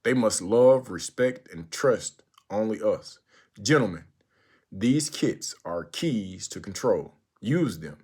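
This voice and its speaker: A man with a fairly low voice reads a formal speech aloud. His delivery is deliberate and oratorical, stressing phrases and leaving pauses between them. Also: husky. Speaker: narrator reading a historical speech aloud. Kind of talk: recited speech read aloud.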